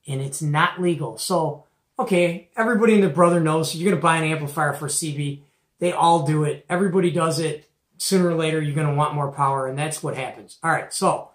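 A middle-aged American man with a Midwestern accent speaks in an echoey room. He tells a story in a casual, conversational way, animated and slangy, often checking in with rhetorical questions. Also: a little high. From Detroit area, United States. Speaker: host of a CB radio show-and-tell. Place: small cluttered indoor radio room. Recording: echoey room, mic at a distance.

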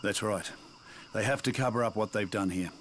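An actor voicing an earnest, duty-bound army colonel in a video game, measured and insistent. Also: male voice, on the low side, a bit bright, lightly acted. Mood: earnest, pleading. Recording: outdoor insects and background noise.

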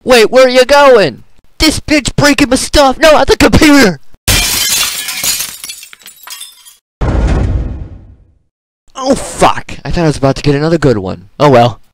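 A man voicing a crude, excitable character in a comedic video game parody, often exclaiming. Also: very high voice, fairly quick, noisy background.

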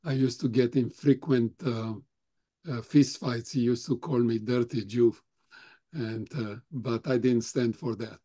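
An older man whose first language is German, slowly answering questions at a remembrance talk with many halting pauses. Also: mid-range voice, steady tone, mellow, husky. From Europe; grew up speaking German, Russian, Romanian. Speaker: Holocaust survivor guest speaker.

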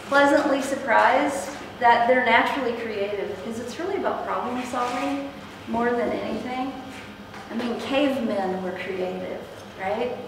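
A middle-aged woman leading an interactive workshop, speaking with frequent ums and checking in with the room. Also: a little high. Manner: upbeat, many ums, asks the room questions. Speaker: workshop instructor. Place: large meeting room with projector. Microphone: far camera, no mic. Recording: echoey room, distant, noisy.

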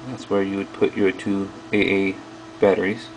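Low, gravelly man, flat casual review. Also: background noise. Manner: halting, piecemeal delivery while handling items. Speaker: video host reviewing old electronics. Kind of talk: casual hands-on product review. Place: small indoor workroom at a bench.

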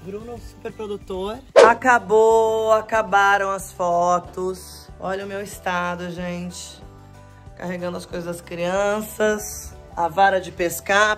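Chatty woman vlogging with a phone. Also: on the low side. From Brazil. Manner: chatty and affectionate, talking to camera and to her kids. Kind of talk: casual family vlog. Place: country house veranda and garden. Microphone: phone camera at arm's length. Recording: background music.

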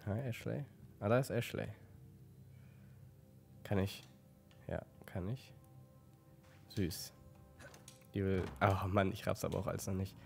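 A man with a slight cold giving relaxed let's play commentary, stumbling now and then while reading game text aloud. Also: deep voice, unhurried, gravelly, long pauses, soft background music. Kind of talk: casual gameplay commentary.

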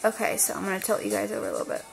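A woman chatting casually while unboxing an album at her desk, rambling with lots of fillers and her voice going hoarse. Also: mid-range voice, little pitch movement, very fast, music in the background. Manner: rambling, many fillers and restarts. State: losing her voice. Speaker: unboxing vlogger.

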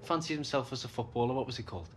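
A man's voice: medium pitch, rapid-fire, a little hiss or hum, close to an everyday voice. A man playing a mocking, gossipy young friend in a video game. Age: young adult. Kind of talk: video game cutscene dialogue.

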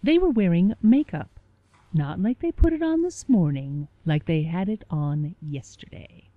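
An actress playing an older, sour small-town office assistant in a radio play, talking in a dry, gossipy way. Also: female voice, fairly low pitch, expressive, unhurried, no echo, narrow, tinny audio. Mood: gossipy disdain.

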